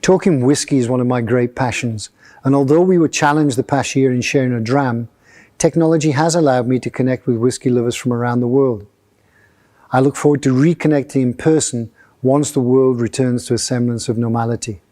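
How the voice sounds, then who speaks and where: Mellow-voiced man in his sixties. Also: medium pitch, fairly even intonation, fairly quick. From Yorkshire, England. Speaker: whisky distiller. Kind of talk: recorded acceptance speech.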